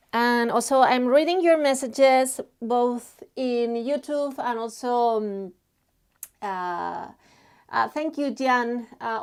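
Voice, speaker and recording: Slow-talking woman from Spain. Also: fairly high pitch. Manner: halting, many fillers, reads chat messages aloud. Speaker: artist live streaming while drawing. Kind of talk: casual live stream chat.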